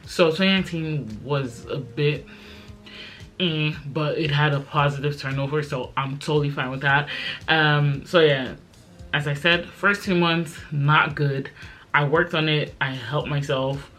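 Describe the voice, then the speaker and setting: A woman's voice: sits low, a little slow, fairly bright tone, faint music behind. A woman in her twenties, raised in Belgium, chatting casually and rambling to camera with lots of fillers. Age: 20s. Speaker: YouTuber. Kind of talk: casual chit-chat vlog.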